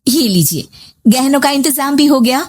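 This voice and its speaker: A woman's voice: high-pitched, expressive, moderate pace, voice pushed up. A woman voicing a dutiful, worried young married woman in a story, earnest and respectful. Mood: gentle, dutiful. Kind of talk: story voice acting.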